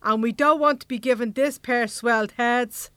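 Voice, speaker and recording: A woman's voice: a little high, normal speed, fairly bright tone, clean tone, no echo, studio quality, close to an everyday voice. A woman acting the bossy, no-nonsense leader of a secret band in an Irish comedy audio drama, colloquial, with a Dublin accent. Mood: bossy, jovial.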